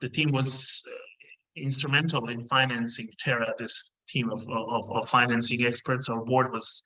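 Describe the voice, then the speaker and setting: A middle-aged man originally from Bosnia talks over a video call from an indoor room, explaining his company as a guest. His tone is bright and clear-edged, and he moves forward in careful steps broken by frequent filler pauses. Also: medium pitch, level tone, poor audio. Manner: measured, many filler pauses. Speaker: mining company CEO, guest.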